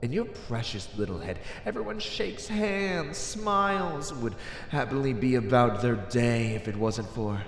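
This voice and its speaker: Guy doing a smug mocking fantasy villain, theatrical roleplay, pitch swoops all over, big echoey reverb. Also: lively intonation, a little hiss or hum. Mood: scornful. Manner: mocking, condescending, theatrical, drawn-out emphasis. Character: arrogant, cynical male fantasy villain taunting a hero.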